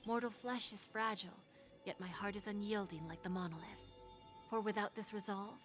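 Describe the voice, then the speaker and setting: A poised female power broker from a video game, played by an actress, speaks in a mid-range voice. She is composed, patient and seductively teasing, in unhurried scripted lines. Soft music sits faintly behind her. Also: medium pitch, steady tone, natural voice. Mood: solemn, composed.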